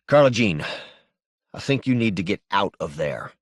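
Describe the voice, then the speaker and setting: A man's voice: sits low, very animated, moderate pace, no room sound, studio quality, full character voice. A man voicing a stubborn, laconic working-class fugitive in an audiobook, terse and guarded.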